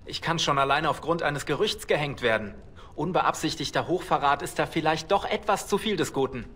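A man's voice: fairly high pitch, fairly quick, fairly bright tone, husky. An actor voicing a nervous male outlaw in a video game, anxious and wryly complaining. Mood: gloomy, wry. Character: nervous male outlaw, fretful, fearful of hanging. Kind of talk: dramatic video game dialogue.

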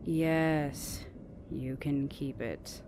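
Woman acting a low, haughty older vampire sister. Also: background noise. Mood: grudging. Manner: measured, exasperated, haughty, often half-whispered. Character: stern, weary, condescending older vampire sister. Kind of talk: ASMR roleplay acting.

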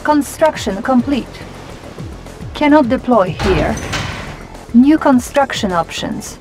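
High-pitched impassive woman command announcer. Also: lively intonation, slow, over music. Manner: clipped, detached. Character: female military command announcer, crisp, impassive. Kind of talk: short video game status announcements.

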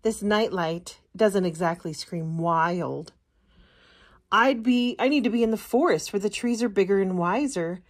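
A woman's voice: mid-range voice, unhurried, lightly acted. Woman voicing an eager, dramatic little sprout girl. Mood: complaining.

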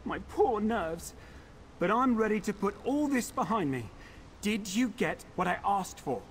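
A man's voice: sits high, sing-song, a little slow, slight background noise, exaggerated voice. An actor voicing a pampered, indignant male elf in a fantasy game, complaining in a prissy, theatrical way. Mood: put-upon, nervous.

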